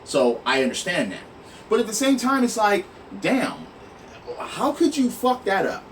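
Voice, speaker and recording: Male online host, fairly high and very animated, emphatic exasperated rant with swearing, recorded off-mic with audible noise. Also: measured pace. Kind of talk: opinionated rant to viewers. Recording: noisy, distant mic, room sound.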